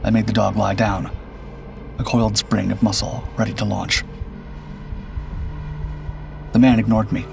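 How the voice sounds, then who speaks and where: A man tells a suspense story in a grave, measured way. His voice is low and gravelly with fry, and his intonation stays very even. Music plays clearly behind him. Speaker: horror story narrator. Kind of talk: scripted first-person suspense narration. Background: background music.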